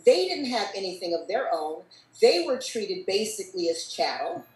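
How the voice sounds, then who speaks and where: A woman's voice: medium pitch, sing-song, normal speed, recorded from a distance. Older American woman on a roomy video call. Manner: discursive, peppers speech with "you know". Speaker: panelist. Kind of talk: thoughtful panel discussion. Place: book-lined room at home.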